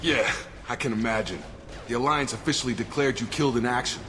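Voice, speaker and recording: A man's voice: medium pitch, fast, background noise, close to an everyday voice. An actor with an American accent playing a loyal, plain-spoken male soldier in a video game, matter-of-fact and military in manner. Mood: steady, frank. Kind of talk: video game dialogue acting.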